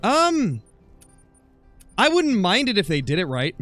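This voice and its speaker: Higher-pitched man chatting on a gaming livestream. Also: huge pitch swings, a little slow, fairly bright tone, soft background music. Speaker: live streamer, gamer. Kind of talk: casual livestream chat over gameplay, answering viewer comments.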